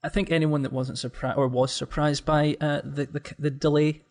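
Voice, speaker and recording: A man's voice: fairly high pitch, level tone, moderate pace, a little mellow. Man chatting on a podcast. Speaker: podcast panelist. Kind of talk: casual podcast chat.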